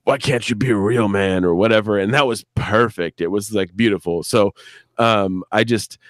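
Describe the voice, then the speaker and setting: A man's voice: fairly low pitch, moderate pace, no room sound, clean studio sound. A man talking casually and profanely on a live stream as an audio drama creator, telling rambling anecdotes and acting out what people said. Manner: profane, rambling, acts out quotes. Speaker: audio drama creator and director, interview guest.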